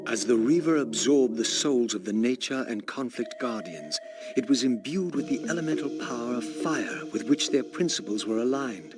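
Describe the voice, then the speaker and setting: An actor playing a brooding undead wraith in a video game, narrating with formal, eloquent, theatrical diction and a solemn manner. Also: male voice, medium pitch, expressive, moderate pace, very noisy, lightly acted. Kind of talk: dramatic scripted game narration.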